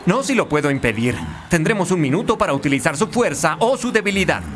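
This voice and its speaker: An actor playing a confident, teasing young soccer striker in a cartoon, with a cocky way of talking. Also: male voice, a little high, very animated, fast talker. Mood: determined. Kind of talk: animated cartoon dubbing. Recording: music behind the dialogue.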